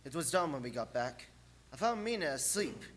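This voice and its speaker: A man's voice: fairly high pitch, big pitch swings, fairly quick, a bit bright, slight background noise. A man playing an earnest, determined young husband hunting a vampire in a radio drama, clipped and tense. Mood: grim, weary.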